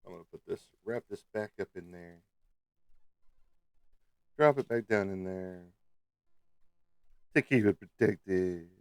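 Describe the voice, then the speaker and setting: A low-pitched man hosts a casual livestream in a close, dry sound. He is slightly stuffed up and talks to viewers in a playful, teasing way. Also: takes it slowly, creaky, long pauses, a little mellow, clear, resonant tone, no room sound. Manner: playful, teasing, peppered with sung sound effects. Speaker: livestream host.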